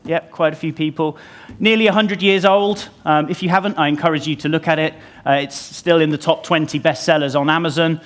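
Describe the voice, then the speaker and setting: A man's voice: a little high, fairly even intonation, a little fast. A man speaking on stage at a conference into a lapel mic, talking in a conversational way with many ums and restarts. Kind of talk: conference presentation. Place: conference stage before an audience. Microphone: lapel mic pack.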